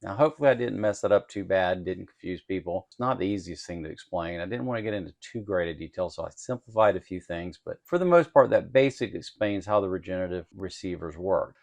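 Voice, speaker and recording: A man with a low voice and plenty of gravelly creak hosts an informal electronics tutorial. He explains things step by step in a plainspoken, folksy way, narrating the work as he goes. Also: expressive, fast talker, few pauses, a little mellow. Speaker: host of an electronics kit-building channel.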